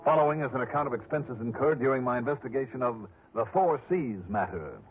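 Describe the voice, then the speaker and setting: Male actor as wry freelance investigator, medium pitch, easygoing, muffled old radio, loud music behind. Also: expressive, fast, close to an everyday voice. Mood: wry narration. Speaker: insurance investigator and narrator. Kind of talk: 1960s radio acting.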